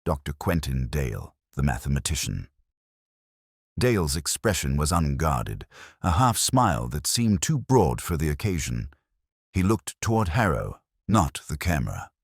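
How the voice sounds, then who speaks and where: An older man reading a literary first-person detective story in a measured, reflective and solemn manner. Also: a bass voice, very animated, gravelly, slightly rough, no room sound, clean studio sound. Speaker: narrator.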